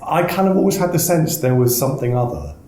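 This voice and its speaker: A man's voice: fairly high pitch, expressive, fast, dark tone. A man, telling wry, blunt anecdotes as a casual interview guest. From South Wales. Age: 50s. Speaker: podcast guest, family lawyer. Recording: echoey room with faint noise.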